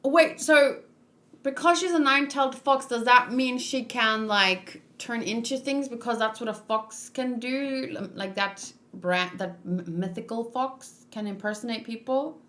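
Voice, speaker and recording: A woman's voice: a little high, unhurried, a bit bright. A woman hosting a read-along, chatting casually and thinking aloud as she goes. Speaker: host of a manga read-along.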